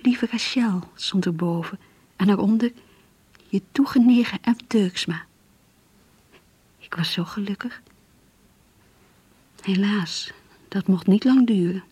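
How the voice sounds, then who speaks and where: A woman playing a naive, pious schoolgirl in love, breathy and earnest, confiding her longings in a radio play. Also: mid-range voice, takes it slowly, long pauses, mellow, no echo. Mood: yearning. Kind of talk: radio drama, diary-like monologue and dialogue.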